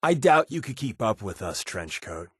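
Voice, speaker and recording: An actor playing a sardonic, wisecracking undercover resistance agent in a video game, with a casual, slangy, quipping delivery. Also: male voice, fairly low pitch, sing-song, normal speed, fairly bright tone, husky, no room sound, clean studio sound, full character voice. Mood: dry, teasing. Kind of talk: video game character voice lines.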